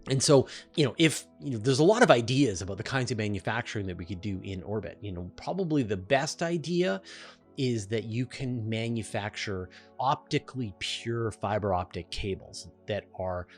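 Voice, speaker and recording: A man's voice: on the low side, lively intonation, normal speed, soft background music. A man hosting a question-and-answer show, explaining things in an emphatic, informal, conversational way. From Canada.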